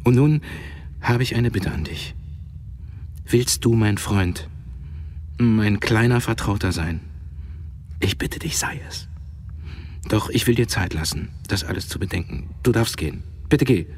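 An actor playing a proud, sentimental middle-aged headmaster in a radio drama, gravelly, effusive and halting, both tender and imperious. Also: male voice, on the low side, darkish tone. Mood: solemn, pleading. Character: proud, sentimental fallen headmaster, older, confiding yet domineering. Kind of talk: literary radio drama acting.

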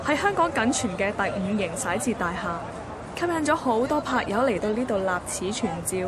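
A woman's voice: mid-range voice, expressive, normal speed, a loud crowd, heavy background noise, natural voice. An actress playing a sentimental, timid young woman photographer, narrating a radio drama introspectively in Hong Kong Cantonese. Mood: light, eager. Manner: introspective, colloquial storytelling.

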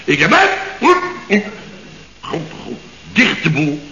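A pompous, rambling building boss. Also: male voice, fairly high pitch, huge pitch swings, takes it slowly, creaky, sharp tone, heavily acted. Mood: boastful blustering. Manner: pompous, rambling, self-satisfied musing. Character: pompous, boastful middle-aged male building boss. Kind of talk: 1960s radio comedy acting. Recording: dull, echoey old radio studio recording.

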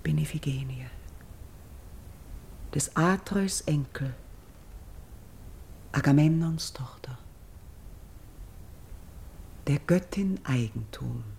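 An actress playing an earnest, steadfast Greek princess and priestess in a classical verse radio drama, speaking in solemn, measured, elevated declamation. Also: female voice, low voice, creaky, a little mellow, heavily acted. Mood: hushed, awed. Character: noble Greek king's daughter turned priestess, earnest and steadfast.

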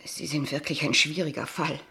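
A kindergarten teacher in a radio drama, with a notably low female voice. She is polite and formal on the surface, yet keeps pressing with probing questions and quiet disapproval. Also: steady tone, moderate pace, creaky, heavily acted. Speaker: actor in a radio play. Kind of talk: dialogue radio play acting in standard German.

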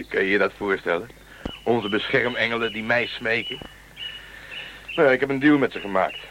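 A man's voice: fairly low pitch, moderate pace, lightly acted. An actor playing a triumphant space explorer in a radio play, telling his tale in a wry, declarative way. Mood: amused, triumphant. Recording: radio drama with faint noise.

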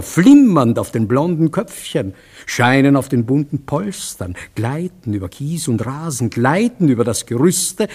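Slow, husky man reciting verse dramatically. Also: mid-range voice, a little mellow.

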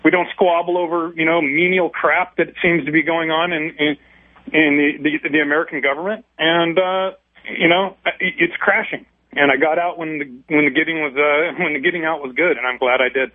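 A man with a fairly high voice argues down a phone line, condescending and dismissive. The call sounds thin and tinny, with no room sound at all. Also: steady tone, fairly quick, no room sound. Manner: condescending, laughs at his opponent. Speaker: caller. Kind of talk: heated call-in argument.

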